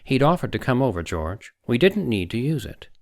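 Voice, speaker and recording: A man's voice: mid-range voice, sing-song, normal speed, a little mellow, voice pushed up. A man voicing an uneasy, morally troubled younger doctor in an audiobook, hesitant and objecting. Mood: protesting. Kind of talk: audiobook character dialogue.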